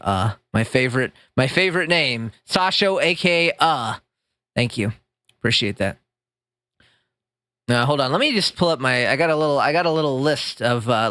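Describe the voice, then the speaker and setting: A man streaming live with a squeaky voice, chatting with his chat in a rambling, jokey way that jumps up high when he gets excited. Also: dry recording. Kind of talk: casual livestream chat reading chat. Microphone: streaming mic.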